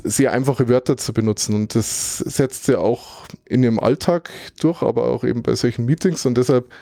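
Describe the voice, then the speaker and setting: A German man talks in a loose, conversational way. He recounts things thoughtfully, rambles a little and gropes for the right word mid-sentence. His voice is dark and mellow, and the sound is dry and close with no room around it. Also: medium pitch, dry recording. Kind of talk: casual conversational recap.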